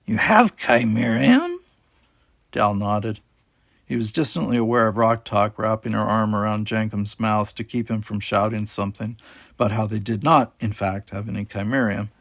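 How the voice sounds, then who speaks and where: A man's voice: on the low side, little pitch movement, moderate pace, fairly bright tone, dry recording. A man reading an audiobook, narrating a scripted story. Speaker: audiobook reader.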